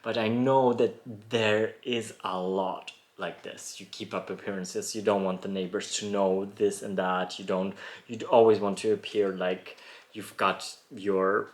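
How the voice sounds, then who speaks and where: A man, born in Poland, hosting a reflective solo podcast in a thoughtful, musing way with frequent soft laughs. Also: lowish voice, level tone, measured pace. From born in Poland, raised in Germany. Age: 30s. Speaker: podcast host. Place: rural home in France.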